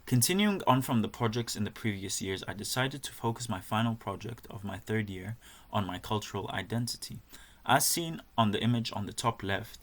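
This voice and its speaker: A young man, a fine art student, reading through a presentation of his work in a measured way with some fillers and restarts. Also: fairly low pitch, lively intonation.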